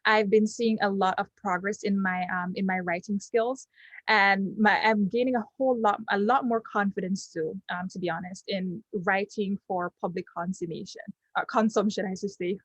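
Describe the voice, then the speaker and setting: A young Jamaican woman, Patois her first language, talks through a testimonial in her Jamaican accent at a middling pitch. She halts often, filling gaps with ums and restarting phrases. The recording is dry, with no room sound. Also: steady tone, normal speed, no echo, rough recording. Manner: hesitant, many ums and restarts. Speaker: client giving a testimonial. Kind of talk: unscripted testimonial.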